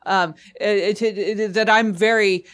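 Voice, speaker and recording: A woman co-hosting an improvised comedy advice show, talking haltingly, repeating and correcting herself in casual banter. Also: medium pitch, fairly even intonation, clear, resonant tone. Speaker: comedy podcast host.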